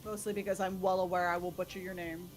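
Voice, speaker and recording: A woman's voice: fairly low pitch, level tone, fast talker, faint music behind. A woman chatting in an easygoing, reassuring way while co-streaming a game. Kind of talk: casual live-stream chat while gaming.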